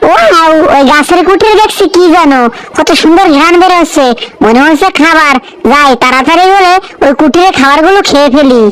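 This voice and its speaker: Woman voicing a high-pitched, sly cartoon fox. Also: fairly quick, fairly bright tone, slight background noise, rough recording. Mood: hungry, eager. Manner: dramatic, complaining. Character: sly, greedy, whining fox, cheeky nephew. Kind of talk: cartoon voice acting.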